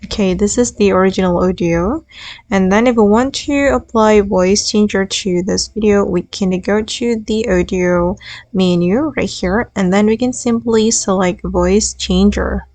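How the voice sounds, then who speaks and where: A woman hosting a software tutorial, narrating step-by-step instructions as a voice-over. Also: mid-range voice, a little slow. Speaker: tutorial host.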